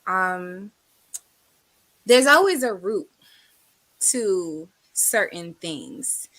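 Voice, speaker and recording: A woman's voice: on the low side, expressive, very slow, a bit bright, rough recording. A woman stage actor in a casual chat, speaking carefully and hesitantly as she chooses her words.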